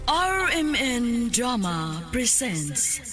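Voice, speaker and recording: A woman's voice: on the high side, sing-song, extremely slow, fairly bright tone. A woman with a Cebuano Filipino accent reading a scripted introduction as a radio drama announcer. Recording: studio voice over faint music.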